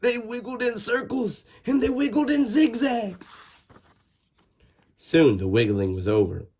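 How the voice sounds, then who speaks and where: A man reading a children's storybook aloud in an expressive, dramatic way with drawn-out words and pauses. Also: very high-pitched, breathy edge. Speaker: storybook reader. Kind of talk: animated children's read-aloud narration.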